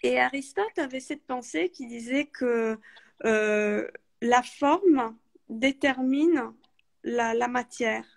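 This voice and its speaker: A woman with a slightly raised pitch and a gravelly edge, speaking French as a second language. She tells her story slowly and reflectively, with frequent fillers and restarts. The sound is a video call. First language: not French. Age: adult with a daughter. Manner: thoughtful, halting, many fillers and restarts. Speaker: guest. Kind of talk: live video call interview.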